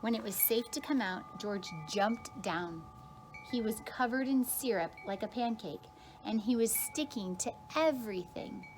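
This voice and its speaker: A woman's voice: a little high, a little slow, a little mellow, soft background music, poor audio. A woman teacher reads a children's picture book aloud expressively, drawing out key words for emphasis. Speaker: kindergarten teacher reading aloud.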